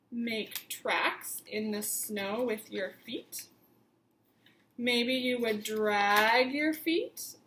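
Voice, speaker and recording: A woman teacher reading a picture book aloud to young children, with expressive emphasis and simple questions put to the listeners. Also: on the high side, reverberant, slight background noise, poor audio. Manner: simple instructive phrasing, questions addressed to children.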